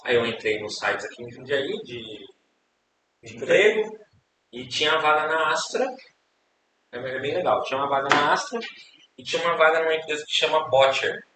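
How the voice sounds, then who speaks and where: A man's voice: a little high, lively intonation, normal speed. Brazilian man, distant and echoey. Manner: rambling, repeats words, many tag questions. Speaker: podcast guest. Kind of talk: casual storytelling interview. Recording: echoey room, distant mic, hiss.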